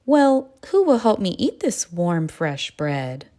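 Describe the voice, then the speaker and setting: A woman voicing an industrious, indignant little red hen in an emphatic, animated way for a children's storybook. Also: fairly low pitch, slow pace. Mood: eager, asking. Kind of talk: children's storybook read-aloud.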